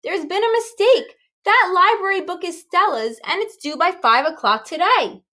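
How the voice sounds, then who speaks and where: Woman playing an urgent, high-voiced mother calling out. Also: animated, normal speed, full character voice. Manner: loud, insistent calling.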